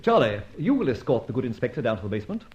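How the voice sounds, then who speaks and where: An actor playing a suave, playful amateur sleuth in an old-time radio detective drama, with flowery, teasing speech. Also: male voice, mid-range voice, sing-song, rapid-fire, darkish tone, slight background noise, natural voice. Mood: jaunty, assured. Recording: dull, muffled old radio recording.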